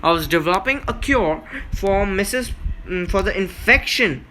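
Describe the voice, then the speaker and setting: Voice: medium pitch, lively intonation, normal speed, bright, forceful tone, slight background noise. A gaming video host giving a casual walkthrough, explaining emphatically with repeats and frequent check-ins. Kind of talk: casual gameplay walkthrough commentary.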